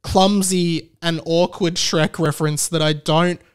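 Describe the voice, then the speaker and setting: A man's voice: fairly high pitch, steady tone, measured pace, clean tone. An Australian man in his thirties hosting a podcast, talking casually in a rambling, wry, mock-serious way. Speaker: podcast host. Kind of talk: casual solo podcast commentary.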